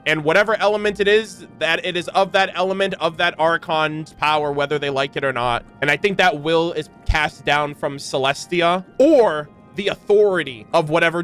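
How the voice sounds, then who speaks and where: A man's voice: fairly high pitch, fairly bright tone. A man hosting a gaming reaction video, commenting casually in slangy, informal speech.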